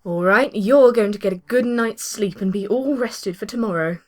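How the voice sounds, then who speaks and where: A woman playing a kind, gentle young woman who is good with children, in an amateur audio drama. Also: mid-range voice, normal speed, lightly acted. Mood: reassuring.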